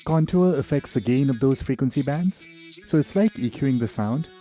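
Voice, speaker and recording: Casting: male tutorial instructor, very soft dark tone, lively intonation, informal and explanatory. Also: medium pitch, moderate pace, soft background music. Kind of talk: tutorial narration.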